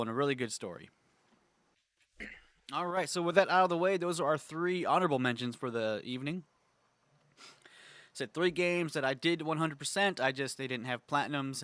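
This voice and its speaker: A young man hosts a casual gaming video, his voice stuffy and congested, often stopping to clear his throat. He rambles happily through filler words at a middling pitch. The sound is close and dry, with no room around it. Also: a bit bright, no echo. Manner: rambling, filler-heavy, enthusiastic. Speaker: gaming channel host. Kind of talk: casual tier-list chat.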